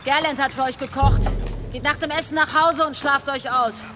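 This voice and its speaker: A woman playing a steely, authoritative village leader in a German audio drama, resolute and measured as she commands. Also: high voice, fairly even intonation, over music, lightly acted. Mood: commanding, calling out.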